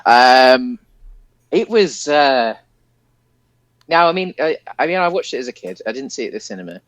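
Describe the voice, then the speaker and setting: A man's voice: mid-range voice, very animated, moderate pace, fairly bright tone, no echo. A British man co-hosting a podcast, chatting casually about a film in a hesitant, rambling way. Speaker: podcast co-host.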